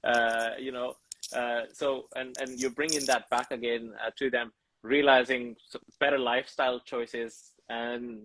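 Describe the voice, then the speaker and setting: Halting, effusive podcast host man. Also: mid-range voice, flat delivery, a bit bright, no room sound, low-quality recording. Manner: halting, many restarts and fillers; effusive, keeps thanking the guest. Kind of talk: casual interview chat.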